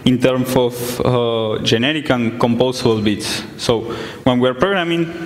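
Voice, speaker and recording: A man's voice: medium pitch, unhurried. A young man from Argentina giving a conference talk in a large hall, explaining plainly with many fillers. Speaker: conference speaker. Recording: echoey hall, some distance from mic.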